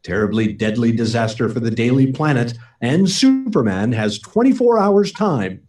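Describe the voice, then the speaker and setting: A man's voice: mid-range voice, very animated, moderate pace, darkish tone, natural voice. Man as a swooping, portentous radio serial announcer. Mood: portentous, suspenseful. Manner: portentous, theatrical. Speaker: narrator and announcer. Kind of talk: 1940s radio serial narration. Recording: distant mic, live remote performance.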